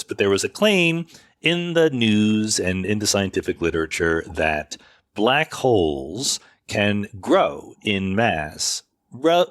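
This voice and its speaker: Male podcast host, lowish voice, conversational explainer, self-correcting and measured, close dry sound. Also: dry recording. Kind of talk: informal explanatory monologue answering listener questions. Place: makeshift recording setup away from home studio.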